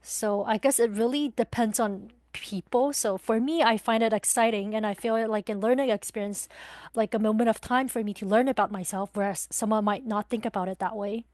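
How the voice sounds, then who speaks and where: A woman's voice: fairly high pitch, fairly even intonation, fairly quick, a little mellow, dry recording. A woman in her twenties from Taiwan, a guest talking thoughtfully with some hesitation about growing up. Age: 20s. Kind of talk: reflective interview conversation.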